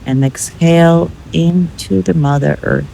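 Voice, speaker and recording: Slow-paced woman guiding meditation. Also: low voice, steady tone, gravelly, warm tone, slight background noise, rough recording. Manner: measured, instructional, with pauses between short phrases. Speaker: meditation guide. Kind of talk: guided meditation.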